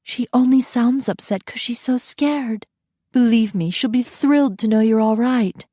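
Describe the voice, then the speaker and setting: Woman playing a gentle soothing young woman. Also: fairly high pitch, unhurried, no room sound, clean studio sound, pitched above the performer's own voice. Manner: soothing, soft.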